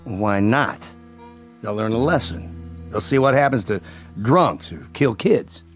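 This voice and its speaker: A man's voice: mid-range voice, lively intonation, takes it slowly, soft background music. Brash, swooping frontier father. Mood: self-righteous. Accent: American. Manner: brash, folksy, defiant. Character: callous, eager frontier father, loud and self-righteous. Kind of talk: radio drama acting.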